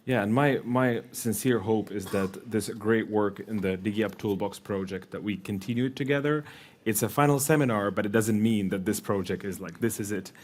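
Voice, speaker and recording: Male seminar moderator, lowish voice, soft-spoken, steady wrap-up tone. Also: moderate pace. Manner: soft-spoken, frequent backchannel. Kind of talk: panel moderation.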